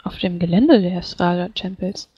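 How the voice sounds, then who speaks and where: Female role-player, slightly low voice, formal in-character delivery, muffled recording. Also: moderate pace, slightly rough. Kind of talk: in-character tabletop roleplay. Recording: dull, noisy microphone with clicks.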